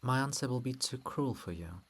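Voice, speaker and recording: A man's voice: mid-range voice, expressive, normal speed, a bit bright, husky. A man voicing a grave, reluctant sister in a dramatic reading, terse and solemn. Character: grave, bitter, reluctant sister, a cruel truth-teller.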